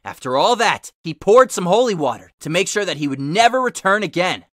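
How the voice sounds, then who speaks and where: Animated, bright-voiced man narrating fan fiction. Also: high voice, fairly quick, no room sound, clean studio sound. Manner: dramatic, emphatic delivery. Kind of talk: animated storybook-style reading in a cartoon hero character voice with asides.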